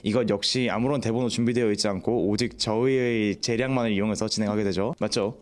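A man's voice: on the low side, steady tone. A young man hosting an unscripted student radio talk show, teasing and laughing easily. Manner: quick, playful, teasing, laughs often. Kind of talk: unscripted casual chat.

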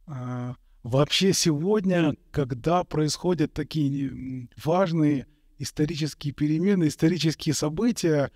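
Soft-spoken monk, slow and thoughtful. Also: male voice, on the high side, no echo, studio quality. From Kazakhstan. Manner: slow and thoughtful, many pauses and hesitations. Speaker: Orthodox monk and priest. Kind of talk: calm reflective interview answers. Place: bright indoor room, interview set. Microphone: studio mic on a boom in front of him.

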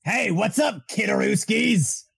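A woman voicing a gruff, goofy old great-uncle with a gravelly, husky voice in an improvised cartoon parody dub. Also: medium pitch, animated, unhurried, over music. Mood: jovial, blustering. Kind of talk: improvised comedy fandub.

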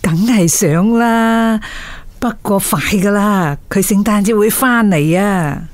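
A woman's voice: medium pitch, slow pace, no room sound, lightly acted. Woman acting loving older mother, dry sound. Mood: affectionate. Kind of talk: Cantonese radio drama acting.

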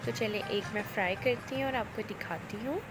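A woman calmly explains each cooking step in a voice-over, speaking directly to viewers. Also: mid-range voice, fairly quick. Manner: calm, instructive, addresses viewers directly. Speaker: cooking channel host. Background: loud background music.

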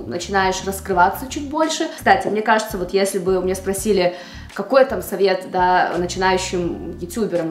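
A woman's voice: on the low side, darkish tone. Young Russian woman vlogger in echoey room. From Russia, Far East. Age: 20s. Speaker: beauty vlogger. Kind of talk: casual chat to camera. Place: indoor room at home. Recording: roomy indoor echo.

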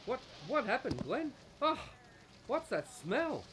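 A man's voice: extremely high pitch, wildly swooping pitch, slow pace, noisy background, exaggerated voice. An actor playing a dutiful male police officer in a noir radio play. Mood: alarmed, questioning. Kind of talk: radio drama acting.

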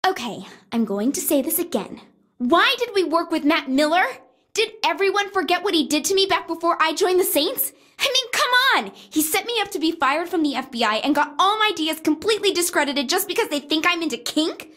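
An actress playing an indignant, high-strung former agent, whining emphatically in a video game audio log. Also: female voice, lively intonation, brisk, a bit bright. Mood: exasperated. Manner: whiny, exasperated, emphatic.

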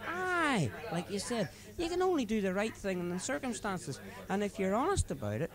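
A man putting on a drunk young policeman, boastful and leering, his voice pitched well up and swooping about wildly. He cackles and shouts his way through the telling, with a crowd murmuring behind. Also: sing-song, moderate pace. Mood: rowdy leering.

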